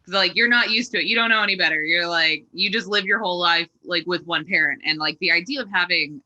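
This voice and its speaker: A woman's voice: fairly low pitch, quick pace, sharp tone, lo-fi sound. A woman in her twenties from Texas, a stand-up comedian telling her story as a podcast guest in a chatty, darkly joking way. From Texas, USA. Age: 20s. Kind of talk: casual conversational storytelling.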